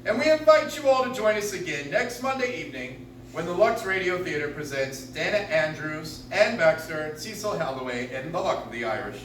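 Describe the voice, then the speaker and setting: Man acting as genial old-time radio producer-host, stately yet animated, distant mic, noticeable noise. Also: a little high, fairly quick. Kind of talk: 1940s radio host sign-off. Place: live stage in a coffee shop. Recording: echoey room, distant, clear background noise.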